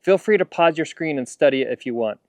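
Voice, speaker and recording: An American man, roughly in his thirties or forties, reviewing gear in a plain-spoken, conversational way as a video host. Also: on the high side, animated, fast, darkish tone, no echo. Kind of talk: casual product review to camera. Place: backyard, outdoors.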